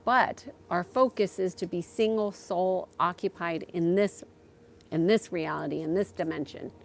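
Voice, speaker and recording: A woman host chatting casually on a livestream as a spiritual guide, thinking aloud in a halting way as she takes viewer questions. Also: on the low side, gravelly, slight background noise.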